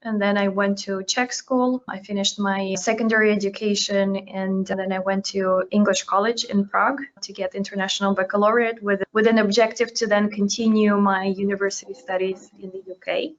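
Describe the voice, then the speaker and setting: Woman, native Russian speaker, Ukrainian-born, fund manager guest. Measured and explanatory, flat intonation, dull lo-fi sound. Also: mid-range voice. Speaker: podcast guest, portfolio manager. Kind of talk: interview answers. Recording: dull lo-fi remote recording.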